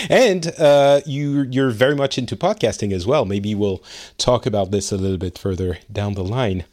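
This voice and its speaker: A man's voice: fairly low pitch, normal speed. Chatty man hosting a podcast. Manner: friendly, lively, with frequent fillers. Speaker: podcast host. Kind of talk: casual interview chat. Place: home in Finland.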